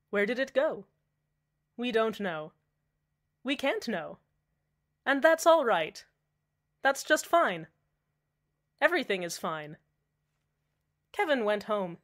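A woman playing a lonely radio host in an audio drama who insists that everything is fine. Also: on the high side. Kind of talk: scripted fan audio drama, radio monologue.

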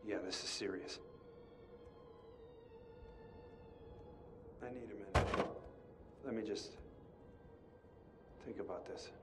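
A man's voice: fairly low pitch, a little slow, over loud music, natural voice. An actor playing a jaded, restless male asylum patient in a video game, with an American accent and sardonic, old-fashioned slangy wisecracks. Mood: uneasy.

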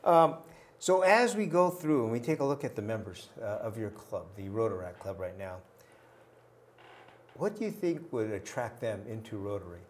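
A man's voice: fairly low pitch, big pitch swings, normal speed, slight background noise. An older man hosting a community TV interview in a small studio, asking questions and giving short encouraging replies. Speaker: TV talk show host.

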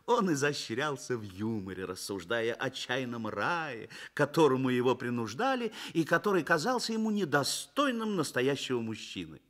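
A man narrating a Soviet radio play in an expressive, literary storytelling manner. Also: mid-range voice, moderate pace, few pauses.

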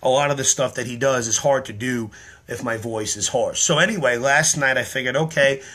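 A man in his thirties talking to his camera indoors, tired and slightly hoarse, explaining his act in an earnest, rambling way full of ums. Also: medium pitch, fairly quick, pushed and bright. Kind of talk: casual talk to camera. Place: small room indoors. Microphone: webcam or phone at close range.

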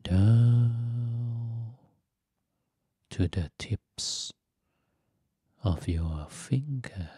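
A man leading a guided meditation in a soft, breathy, gentle voice, speaking in short phrases with long pauses between them. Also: medium pitch, no echo, clean studio sound. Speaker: Buddhist monk teaching meditation.

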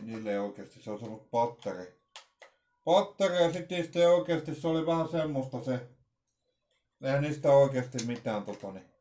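A man in his forties talks to a desk webcam in a small room at home while he unboxes gear. His voice is mellow and dark, and he thinks aloud as he goes. He halts often, restarts his sentences and fills the pauses with hesitations. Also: medium pitch. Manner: halting, thinking aloud with many fillers and restarts. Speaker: vlogger unboxing radios. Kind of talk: casual unboxing commentary.

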